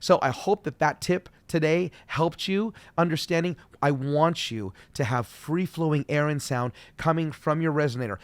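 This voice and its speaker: A man's voice: on the high side, no echo. Upbeat male vocal coach, dry close sound. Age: middle-aged. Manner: friendly, explains slowly with demonstrations. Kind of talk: solo video voice lesson.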